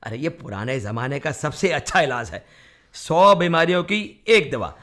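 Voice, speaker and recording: Cocksure home-remedy friend, high-pitched, brisk, bossy, radio play. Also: male voice, big pitch swings, a bit bright. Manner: brisk, insistent, chiding. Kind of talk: Hindi radio play acting.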